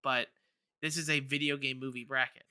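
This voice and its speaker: A man's voice: medium pitch, fairly even intonation, fairly quick, pushed and bright, clear, resonant tone, no room sound. A man co-hosting a podcast in casual debate, hesitant and thinking aloud as he talks. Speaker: podcast co-host.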